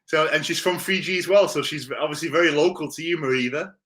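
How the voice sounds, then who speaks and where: A man's voice: fairly high pitch, fast, fairly bright tone. A man hosting a live-streamed interview, chatty and upbeat, who laughs often. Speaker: podcast host. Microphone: video call.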